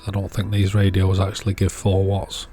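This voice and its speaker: A man with a fairly low voice talks casually through a hands-on repair. He is unscripted, thinking aloud as he works, with music faintly present behind him. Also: monotone, moderate pace, mellow. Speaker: host of an electronics repair channel.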